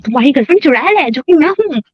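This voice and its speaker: A woman's voice: high voice, expressive, rapid-fire, dry recording. A young woman arguing emotionally on a casual phone call, accusatory, insistent and repeating herself. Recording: dull lo-fi phone call recording.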